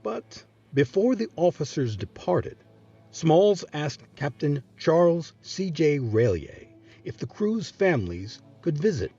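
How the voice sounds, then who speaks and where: A man narrating scripted history as a series host, with a dramatic, measured storytelling delivery. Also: a little high, vocal fry, warm tone, husky, dull audio.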